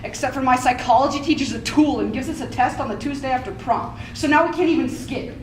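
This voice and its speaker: A young woman playing a regretful, candid high school student in a readers theater monologue. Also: quick pace, close to an everyday voice. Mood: wry, uneasy. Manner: dramatic, conversational. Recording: echoey room, distant mic, clear noise.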